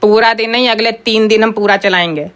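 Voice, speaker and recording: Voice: medium pitch, steady tone, brisk, a bit bright, smooth, natural voice. A performer voicing a cheeky, demanding young son in a comic cartoon, talking in casual colloquial speech.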